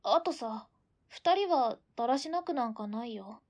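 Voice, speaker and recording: A high-pitched young girl's voice, acted by a woman in radio-drama style. She is an orphan, quick to sulk but sincere and affectionate toward those around her. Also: lively intonation, a little mellow, muffled recording. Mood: earnest, grateful. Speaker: voice actor in an audio drama. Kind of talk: Japanese radio drama acting.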